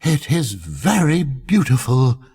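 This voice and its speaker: Fawning storybook courtiers played by a man, pitched well above his natural voice. The delivery is effusive and exclamatory, swooping through lavish admiration with a slightly husky edge. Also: very animated, takes it slowly, a little mellow, full character voice. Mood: admiring. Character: gushing flattering courtiers and crowd, loudly admiring.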